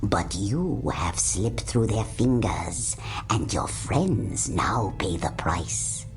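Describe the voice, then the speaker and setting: A woman voicing an ancient, all-seeing female prophet in a video game, speaking in a breathy, whispery way with slow, solemn pronouncements. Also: rumbling low, animated, a little mellow, exaggerated voice. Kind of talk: video game cutscene voice acting. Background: orchestral game music.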